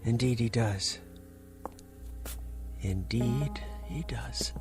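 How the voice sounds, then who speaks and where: An actress playing a quiet, submissive woman who tends an invalid, in a radio drama. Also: very deep voice, drawn-out words, soft background music, full character voice. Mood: polite. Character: meek downtrodden woman caring for an invalid.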